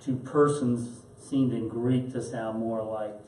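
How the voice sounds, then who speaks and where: A man teaching a class and answering questions in a thoughtful, halting way. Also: medium pitch, steady tone, mellow. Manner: thoughtful, halting with fillers and restarts. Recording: roomy echo, mic at a distance, faint hiss.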